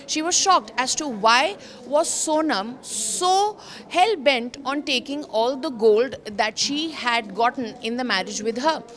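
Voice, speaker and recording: A woman's voice: high voice, expressive, slow, a bit bright. A woman with an Indian accent presenting a news explainer as a reporter, speaking emphatically and repeating phrases for stress. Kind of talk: news explainer commentary, semi-scripted.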